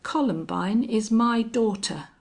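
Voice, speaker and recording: A steely older gunfighter from a western audiobook, voiced by a woman. He is cold and contemptuous, taunting in a creaky, husky voice with deliberate menace. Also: on the high side, full character voice. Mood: menacing. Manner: strange monotone, taunting, cold. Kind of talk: audiobook character acting.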